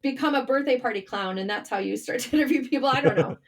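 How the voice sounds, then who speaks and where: Woman writer on a podcast, high voice, chatty storytelling, loose and informal. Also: quick pace. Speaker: podcast guest, writer and journalist. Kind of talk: casual interview chat.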